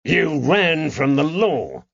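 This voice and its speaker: Male narrator as gruff police constable, furious, accusing, animated, stern, slightly dull close dry recording. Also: fairly high pitch, slow, no room sound, pitched above the performer's own voice, full character voice. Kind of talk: audiobook character acting.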